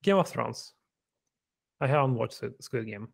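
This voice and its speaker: A man's voice: mid-range voice, animated, unhurried, gravelly, husky. A man in his thirties with a Bulgarian accent, answering interview questions thoughtfully over a video call from his living room. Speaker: guest software engineer. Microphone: desk microphone on video call.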